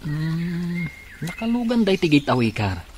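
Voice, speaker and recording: An actor with an Ilocano accent playing a brisk police officer giving urgent orders in a radio drama. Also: male voice, a little high, sing-song, darkish tone, slight background noise. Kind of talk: Filipino radio drama acting.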